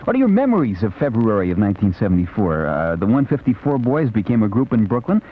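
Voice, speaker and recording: A man's voice: fairly low pitch, very animated, fast. An American man hosting a late-night 1970s music radio show, chatting in a casual, playful, rambling way. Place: radio studio. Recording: dull, noisy 1970s AM radio aircheck.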